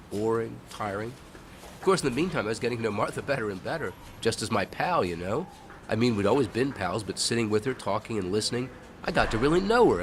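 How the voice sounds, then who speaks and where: A man's voice: mid-range voice, a little hiss or hum, lightly acted. A man playing a folksy older country fellow who earnestly recalls his boyhood in an audio drama.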